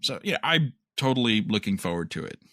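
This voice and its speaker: A man's voice: on the low side, big pitch swings, fairly quick, fairly bright tone, no echo, clean studio sound. A man co-hosting a podcast, chatting casually and offering his opinions in a conversational way. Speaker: podcast co-host. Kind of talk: casual chat about entertainment news.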